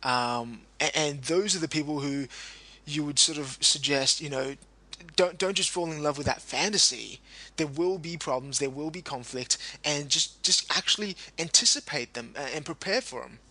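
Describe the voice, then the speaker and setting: A man hosting a conversational interview, agreeable and quick to back up his guest. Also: on the high side, bright, forceful tone, low-quality recording. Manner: agreeable, frequent backchannels, laughs easily.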